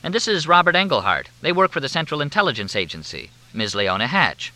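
A wry, unflappable young man, as a narrator plays him, dry and deadpan. His voice is bright and animated. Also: fairly high pitch, brisk, voice pushed up, exaggerated voice.

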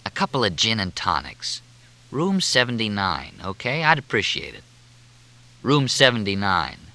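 A man voicing a cool male newspaperman who wisecracks in a dry, flippant, deliberate drawl. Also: mid-range voice, sing-song, sharp tone.

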